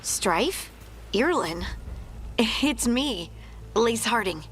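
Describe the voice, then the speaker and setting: A woman's voice: fairly high pitch, animated, drawn-out words, a little hiss or hum, exaggerated voice. A woman playing an earnest, insistent scout in a fantasy video game party. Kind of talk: video game cutscene acting. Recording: loud music behind the voice.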